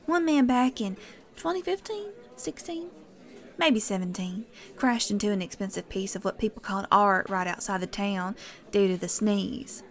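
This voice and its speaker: A woman's voice: mid-range voice, moderate pace, close to an everyday voice. Woman acting a wry, reserved young writer. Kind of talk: audio theater acting.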